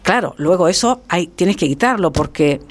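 A woman's voice: on the low side, moderate pace. Reflective woman telling her story. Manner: reflective, lively storytelling with many restarts. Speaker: guest, actress. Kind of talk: conversational interview.

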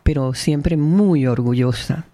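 A woman's voice: sits low, slow pace, warm tone, dry recording. A woman from the Canary Islands with a mixed Canarian and Venezuelan accent, telling her life story frankly as an interview guest. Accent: mixed Canarian and Venezuelan Spanish. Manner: emotional, frank, with restarts and laughs.

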